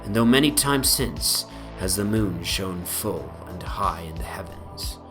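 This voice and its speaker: Man, fairly low pitch, theatrical, solemn, dark fantasy audiobook narration, music soundscape behind. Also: animated, unhurried. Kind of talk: dramatic reading of a horror fantasy story. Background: prominent music soundscape.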